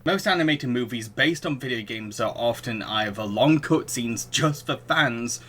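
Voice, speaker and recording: A man's voice: medium pitch, animated, normal speed, a bit bright, slight background noise. A man hosting a casual podcast chat about films, laughing often and acting out his own reactions. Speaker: podcast host.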